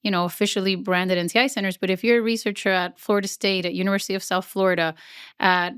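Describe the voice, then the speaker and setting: A woman's voice: medium pitch, steady tone, fast talker, fairly bright tone, clear, resonant tone, dry recording, studio quality. A middle-aged woman, a nonprofit CEO, talking casually in an interview, chatty and self-deprecating.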